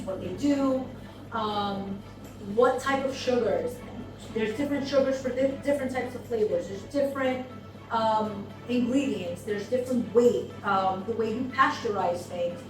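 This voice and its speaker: A woman who owns a business, giving a thoughtful testimonial with many ums and pauses. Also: fairly high pitch. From United States. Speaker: seminar attendee, business owner. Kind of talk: testimonial interview. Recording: echoey room, mic far off, music behind.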